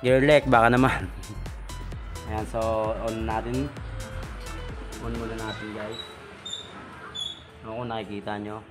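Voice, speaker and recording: A man's voice: on the low side, level tone, slow pace, long pauses, over music. A Filipino man talking casually and informally to viewers as a vlogger giving a hands-on product demo. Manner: informal, chatty, addressing viewers.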